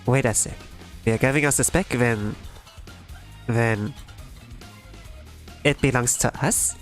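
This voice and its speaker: A streamer of unclear sex reading a game story aloud in a dramatic, halting way, stumbling over words now and then. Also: medium pitch. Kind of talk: dramatic readalong with put-on character voices. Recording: music behind the voice.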